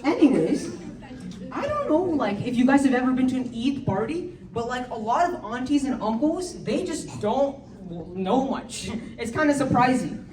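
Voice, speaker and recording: A teenage girl with a slightly high voice rambles through a stand-up set, giggling between lines and filling gaps with likes and ums. She is heard from a distance in a large, echoey hall, with the audience around her. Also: sing-song, moderate pace, a little mellow, slight background noise. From United States. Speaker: stand-up comedian. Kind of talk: amateur stand-up comedy set. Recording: echoey hall, speaker far from mic, crowd behind.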